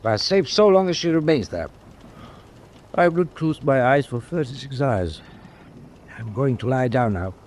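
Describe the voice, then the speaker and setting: An actor playing a weary, overconfident tanker captain in a war radio drama, curt and commanding. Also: male voice, medium pitch, animated, measured pace, long pauses, darkish tone, lightly acted. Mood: weary, complacent. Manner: curt, commanding, self-assured. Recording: faint background noise.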